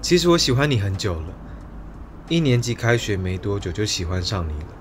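A man's voice: on the low side, very animated, a little fast, a little hiss or hum. An actor playing a shy, sincere young male student in a radio drama. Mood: shy confession. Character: shy, sincere young man confessing to schoolmate. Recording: street and vehicle ambience.

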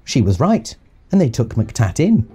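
A man's voice: medium pitch, sing-song, unhurried. A man narrating a children's audiobook, reading rhyming verse rhythmically and expressively. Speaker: storyteller reading to young children.

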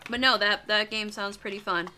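A woman's voice: mid-range voice, fairly even intonation, moderate pace, bright, forceful tone, slight background noise. A young woman chatting casually as the host of a drawing livestream.

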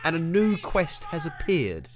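A man's voice: on the high side, wildly swooping pitch, a little slow, faint music behind. A young man giving a rambling, jokey walkthrough of a video game with self-mocking asides. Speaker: gaming video host. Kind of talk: casual gameplay walkthrough commentary.

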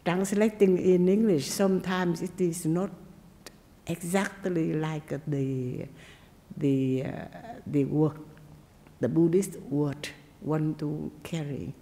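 Slow, gravelly-voiced nun teaching in a hall. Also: female voice, sits low, warm tone. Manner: simple, halting non-native English with pauses and check-ins. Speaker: Buddhist monastic teacher. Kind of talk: dharma talk on meditation.

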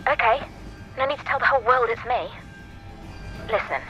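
A self-important, imperious woman in a video game. Her bright, forceful voice is clipped and impatient and swings widely in pitch, with faint music behind. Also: animated, normal speed, dull audio. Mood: curt, wounded. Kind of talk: video game dialogue acting.

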